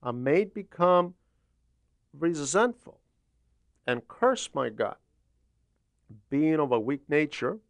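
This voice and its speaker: A man delivers a religious homily at an unhurried, slow pace, with a slightly high-set voice. He teaches deliberately, breaking his sentences into short phrases with pauses. The sound is dry and close, with very little room in it. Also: very animated, vocal fry, no room sound. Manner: deliberate, didactic, with frequent pauses between phrases. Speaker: homily reader and translator. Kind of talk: religious homily, read aloud.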